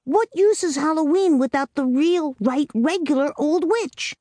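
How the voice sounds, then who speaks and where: A woman's voice: high-pitched, animated, a little slow, dark tone, no room sound, voice pushed up. A woman reading a storybook, voicing an earnest, commanding little girl who lays down rules. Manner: earnest, commanding, a bit solemn. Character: bossy imaginative little girl Amy.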